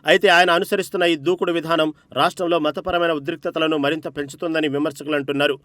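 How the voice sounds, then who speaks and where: Guy narrating news, really quick and dramatic, dry close studio sound. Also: a little high, flat delivery, a bit bright, no room sound.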